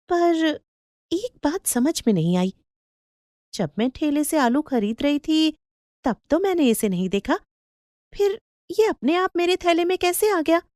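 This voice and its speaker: A woman voicing an excitable, hardworking mother in an animated story, with exclamatory, wondering delivery. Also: fairly high pitch, dry recording, clean studio sound. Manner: exclamatory, wondering, mildly scolding. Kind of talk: animated Hindi storybook acting.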